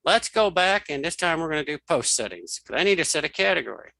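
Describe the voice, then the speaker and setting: A man giving a casual, step-by-step software tutorial, informally narrating what he does as he goes. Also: fairly high pitch, sing-song, fast, gravelly, no room sound. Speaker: tutorial host.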